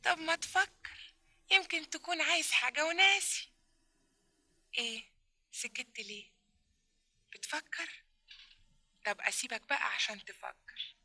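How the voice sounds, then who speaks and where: Coy, high-pitched Egyptian flirt. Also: female voice, moderate pace, pauses often, sharp tone, full character voice. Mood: teasing, flirtatious. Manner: drawling, exaggerated coyness. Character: coy, teasing, flirtatious woman on a late-night phone call. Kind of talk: old Egyptian radio comedy acting.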